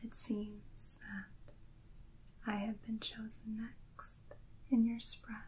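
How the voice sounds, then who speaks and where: A woman playing a serene, regal priestess from a tarot deck, in a breathy, mostly whispered, stately ASMR roleplay delivery. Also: on the high side, completely flat delivery, a little mellow, low-quality recording. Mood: serene, mysterious. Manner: stately, deliberate, mysterious.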